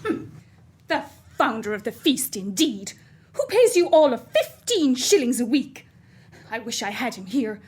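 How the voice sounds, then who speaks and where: A woman playing a bossy, sharp-tongued working-class mother in a radio play. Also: on the high side, sing-song, unhurried, breathy edge, heavily acted. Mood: scornful. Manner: bossy and scornful.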